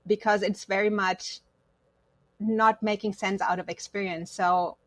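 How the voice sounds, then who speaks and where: A woman's voice: medium pitch, steady tone, measured pace, fairly bright tone, no echo. A woman from Germany, not an English speaker, speaking reflectively about personal matters as an interview guest. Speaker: podcast guest, counselor.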